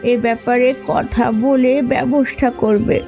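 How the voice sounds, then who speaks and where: A plain-spoken, long-suffering village woman from a fairy-tale cartoon. Her voice is fairly high and mellow, with a gravelly creak. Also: fairly even intonation, a little slow, faint music behind. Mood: concerned.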